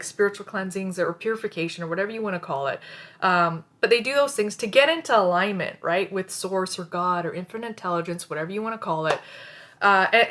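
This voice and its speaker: A woman's voice: medium pitch, fairly bright tone. A middle-aged woman from Canada whose first language is Hindi, teaching about psychic senses in a loose, rambling way, with lots of fillers, restarts and tag questions. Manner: rambling, many fillers and restarts, frequent "right?". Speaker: spiritual teacher. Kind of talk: casual spoken teaching.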